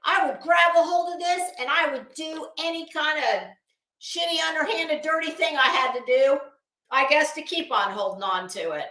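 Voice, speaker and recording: A woman's voice: high-pitched, normal speed, pushed and bright, roomy sound. A woman doing casual livestream commentary as host, blunt, salty and emphatic, with a disdainful edge.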